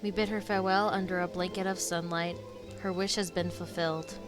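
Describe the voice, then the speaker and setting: A woman streamer giving casual reactions to a game in short, slangy bursts. Her voice sits a little low for a woman. Game music plays underneath her. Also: level tone, a little fast.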